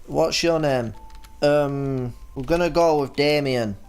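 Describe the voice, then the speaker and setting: A young man doing let's-play commentary and reading game text aloud, energetic and joking with sudden exclamations. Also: fairly high pitch, slow, clean tone, faint music behind. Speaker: gaming YouTuber.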